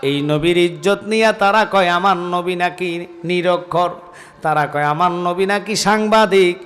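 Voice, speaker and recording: A Bangladeshi man preaching an Islamic sermon, telling stories in an impassioned, declamatory way with drawn-out, chanted phrases. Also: sits high. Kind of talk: Bengali waz sermon, dramatic storytelling. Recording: long reverb from loudspeaker system.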